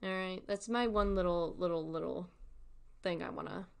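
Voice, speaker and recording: Female streamer, candid and informal, halting delivery with repeated words and emphatic asides. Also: lowish voice, gravelly. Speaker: streamer and artist. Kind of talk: candid casual livestream commentary.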